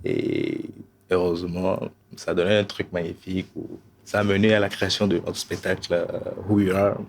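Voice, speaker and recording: Young man from Togo, low voice, hesitant panel talk, lots of fillers. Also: level tone, moderate pace, a little hiss or hum. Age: 20s. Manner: hesitant, many fillers, soft laughs. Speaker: dancer, singer and poet. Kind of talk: relaxed panel chat with a recited poem.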